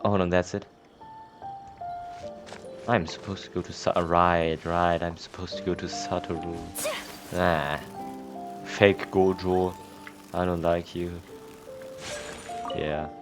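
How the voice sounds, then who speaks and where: Deep-voiced teenage guy doing game commentary. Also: flat delivery, slow, pauses often, music in the background. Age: teens, about 17. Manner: offhand, teasing asides. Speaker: gaming streamer. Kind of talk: casual game playthrough commentary.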